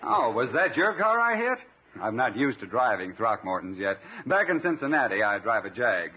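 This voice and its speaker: A man's voice: mid-range voice, very animated, moderate pace, lightly acted. An actor playing a chummy, self-assured, presumptuous man in a 1950s radio comedy, polite and glib. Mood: breezy, chummy. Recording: tinny 1950s radio recording, faint hiss.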